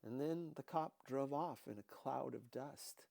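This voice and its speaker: Mellow male audiobook reader. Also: medium pitch, a little slow, vocal fry, no room sound. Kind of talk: scripted first-person novel narration.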